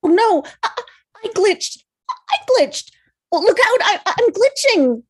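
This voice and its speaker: A woman voicing a taunting little girl racer with exaggerated mock wailing in an animated film table read. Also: extremely high pitch, slow pace, exaggerated voice. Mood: mock panic. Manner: mocking, exaggerated.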